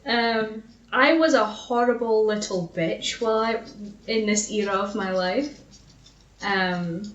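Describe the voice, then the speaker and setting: A woman's voice: medium pitch, takes it slowly. A woman co-hosting a podcast, chatting casually and reading out old messages in a sweary, self-mocking way. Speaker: podcast co-host. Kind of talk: casual chat and dramatic reading of old arguments. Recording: dull, echoey, far from the mic.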